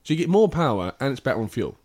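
A man's voice: medium pitch, sing-song, racing, darkish tone. A man hosting a podcast, reading out a listener's email and trading jokey, sweary banter with his co-hosts. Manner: jokey, swears freely, laughs often. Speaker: podcast host.

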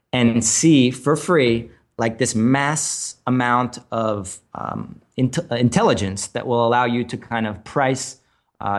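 A man co-hosting a casual podcast, explaining tools and data in an analytical way with many ums and restarts. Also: mid-range voice. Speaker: podcast co-host. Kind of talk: casual chat.

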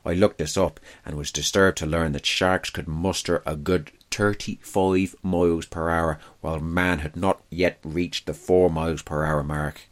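A man's voice: low-pitched, steady tone, measured pace, hardly any pauses. A man reading a first-person wartime diary aloud as scripted narration.

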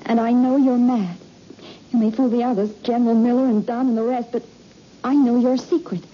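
A woman's voice: fairly high pitch, steady tone, fairly quick, lightly acted. An actress playing a young mother, a bitterly composed and accusing wife in a 1960s radio drama. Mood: bitter accusation. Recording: dull, noisy old radio recording.

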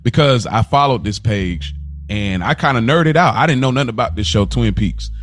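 A man co-hosting a podcast in casual chat, thinking out loud with frequent restarts and circling back to his point. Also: mid-range voice, animated, normal speed. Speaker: podcast co-host.

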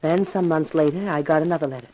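No-nonsense tv producer lady from an old 1950s radio play, lowish voice, skeptical. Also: fast, mellow, poor audio. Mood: somber, confiding. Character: brisk, skeptical female television producer, businesslike. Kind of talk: 1950s radio drama acting. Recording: vintage 1950s radio recording.

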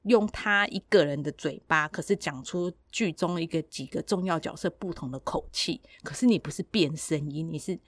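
A woman with a Taiwanese accent chatting casually and colloquially as a podcast host, explaining things in an animated way. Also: low-pitched, normal speed, hardly any pauses, no echo. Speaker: podcast host and audiobook producer.

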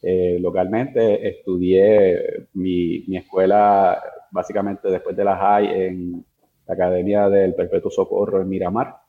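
A man's voice: deep voice, level tone, a little slow, narrow, tinny audio, slight background noise. A man with a Puerto Rican accent explaining technical things informally as an interview guest, hesitating and mixing in English tech terms. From Puerto Rico. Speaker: interview guest, engineer in networks and security.

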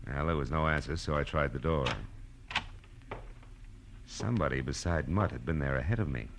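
An actor playing a hard-boiled American private eye in a 1940s radio drama, narrating and talking in a dry, sardonic, clipped way. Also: male voice, very deep voice, normal speed. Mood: world-weary. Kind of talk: 1940s radio detective acting, first-person narration and dialogue. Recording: noisy old radio recording.

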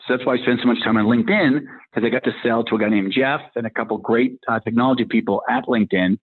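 A man's voice: mid-range voice, quick pace, breathy edge. A man in his fifties with a Massachusetts accent, a tech executive telling his career story in a rambling, casual way that drifts into little acted-out bits of dialogue. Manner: rambling, acts out dialogue in anecdotes. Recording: phone-like narrow line.

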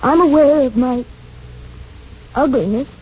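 An actress playing a lonely, plain young woman in 1940s radio drama, measured, theatrical, wistful and dignified. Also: sits high, darkish tone, breathy edge, full character voice. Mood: hurt, dignified. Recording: muffled vintage radio broadcast with music.

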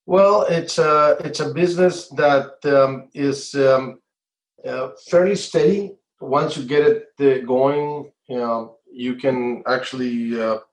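A middle-aged man from Colombia giving measured, halting answers in an interview, pausing often as he explains. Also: mid-range voice. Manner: halting, measured, frequent hesitations. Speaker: interview guest, entrepreneur. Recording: echoey, roomy sound.

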